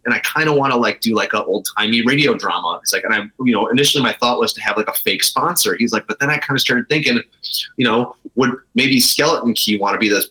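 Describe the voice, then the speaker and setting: Man, mid-pitched, bright and punchy voice, enthusiastic, emphatic, conversational interview guest. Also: low-quality recording. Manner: enthusiastic, emphatic, swears freely; self-described nerdy. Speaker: guest, brewery co-owner. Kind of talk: casual interview chat.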